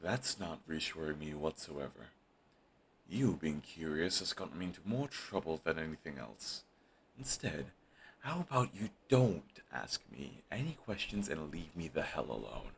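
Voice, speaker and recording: A man's voice: extremely low pitch, sing-song, normal speed, vocal fry, a little hiss or hum, full character voice. A man voicing a grumpy, sarcastic male supervillain who dismisses an old rival in an audio roleplay drama. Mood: irritated. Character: snarky, grumpy male supervillain, middle-aged. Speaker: voice actor.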